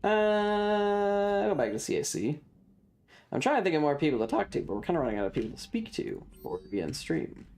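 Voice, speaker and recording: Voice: mid-range voice, very animated, fairly quick, a little mellow. A streamer chatting casually while playing a video game, rambling along to themselves and the viewers. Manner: rambling, talks to self and chat. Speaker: streamer hosting a live stream.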